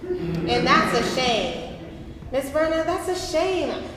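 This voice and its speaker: Actress playing a restless twenty-one-year-old woman for stage drama; unhurried, animated delivery, long hall reverb. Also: high-pitched, heavily acted. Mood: exasperated. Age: young adult. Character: frustrated, yearning 21-year-old queer woman in 1966 Baltimore. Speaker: actor in a staged reading. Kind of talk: staged play reading. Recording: very echoey hall, noisy live stream.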